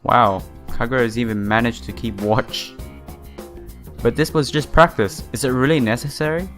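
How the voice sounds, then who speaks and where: A man reading a visual novel aloud and commenting casually as a let's player, halting and informal. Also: medium pitch, fairly even intonation, fairly bright tone. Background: game music.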